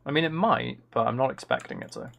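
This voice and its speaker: A man streams a game and chats casually as he goes. His mid-range voice has a creaky, gravelly edge, and he muses aloud to himself and his viewers. Faint noise, typing and clicks sit behind him. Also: medium pitch, huge pitch swings, fast talker, a bit bright, muffled recording. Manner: musing, thinking aloud. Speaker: live streamer playing a building game. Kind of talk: casual live stream chat while playing.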